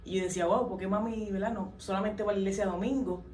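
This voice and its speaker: A woman's voice: medium pitch, steady tone, quick pace, a little mellow, a little hiss or hum. A young adult woman from Puerto Rico with a Puerto Rican accent, sharing her testimony as an interview guest, earnest and full of hesitant fillers. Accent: Puerto Rican Spanish. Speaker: guest, worship singer. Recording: roomy, distant mic, faint ambience.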